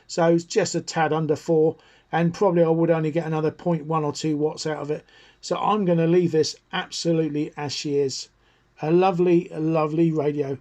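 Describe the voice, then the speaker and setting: A man's voice: fairly high pitch, little pitch movement, fairly quick, darkish tone, dry recording. A middle-aged British man giving a casual, chatty, enthusiastic hands-on review as a hobbyist presenter. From United Kingdom. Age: middle-aged or older, over 40. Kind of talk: casual product review and demonstration.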